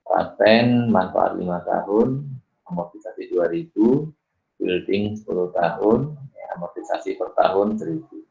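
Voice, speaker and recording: A middle-aged man with a very deep voice works through exam problems for his students. He moves unhurriedly, tagging his phrases with "ya" and stopping for "eh". The recording sounds dull and muffled. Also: lively intonation, gravelly, faint music behind. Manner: unhurried, fills pauses with "ya" and "eh". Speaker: accounting lecturer.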